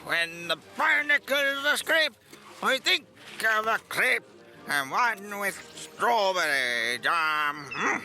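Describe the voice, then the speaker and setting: A man's voice: sits high, big pitch swings, slow, bright, forceful tone, faint music behind, heavily acted. A man playing a daft, cheerful sailor in an audio drama, rambling theatrically in singsong nonsense rhymes. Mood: dreamy, rambling.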